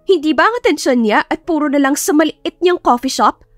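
Woman acting high-pitched, whiny spoiled young sister. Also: expressive, a little fast, no echo, studio quality, soft background music. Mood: resentful protest. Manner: whiny, indignant, emphatic. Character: spoiled, scheming young adult sister, whining and pleading. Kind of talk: audiobook character acting, melodramatic.

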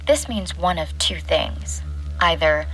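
An actress playing a serious doctor in an audio drama, reasoning aloud precisely. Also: female voice, fairly low pitch, expressive, takes it slowly, a bit bright, faint music behind, low-quality recording. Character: serious woman doctor giving a deductive account.

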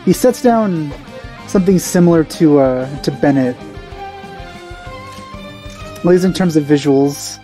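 A man in his thirties giving casual, low-key reaction commentary as a video host, close on the mic. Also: measured pace, long pauses, mellow. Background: faint music.

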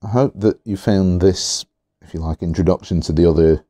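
A man reviewing fountain pens in an easygoing, chatty way, a little hoarse, with fillers and repeated words. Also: deep voice, moderate pace, dark tone, no room sound, clean studio sound. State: slightly hoarse. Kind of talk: relaxed product review. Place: indoors at a desk. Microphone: overhead camera on the hands, speaker not in frame.

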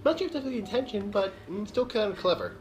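A young man giving casual live reaction commentary from his living room, breaking in with drawn-out ohs and repeated phrases. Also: sits high, animated, slight background noise. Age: young adult. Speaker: reaction video host. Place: small living room, on a couch. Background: music from the watched video.

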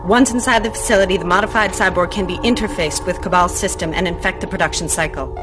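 An actress playing a serious, brisk scientist in middle age, speaking earnestly and articulately in a game cutscene. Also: female voice, fairly low pitch, lightly acted. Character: serious, brisk female military research scientist doctor. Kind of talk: dramatic briefing in game cutscene. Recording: music behind the voice.

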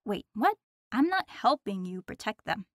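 A woman's voice: medium pitch, very animated, normal speed, close to an everyday voice. A woman acts out a sardonic female demon in an audio roleplay. Her mid-range voice is teasing and nonchalant. The recording is close and dry, with no room echo. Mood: cocky, dismissive.

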